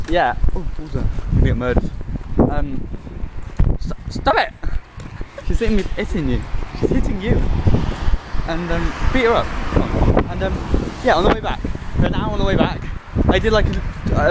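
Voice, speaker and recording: A young man with a light Gloucestershire accent and a fairly high voice chats and giggles, easily thrown off his train of thought. He holds a phone at arm's length on a dark street at night. Loud wind buffets the mic. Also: expressive, moderate pace, pauses often. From England. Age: young adult. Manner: chatty, giggly, easily distracted. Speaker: vlogger. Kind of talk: rambling casual vlog. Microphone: phone held at arm's length.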